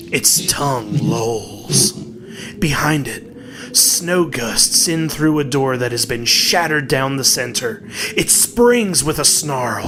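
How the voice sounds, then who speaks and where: A man narrating a horror story in a dramatic, deliberate, suspenseful way, with weighted pauses. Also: medium pitch, very noisy. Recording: music bed behind the voice. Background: clearly audible music.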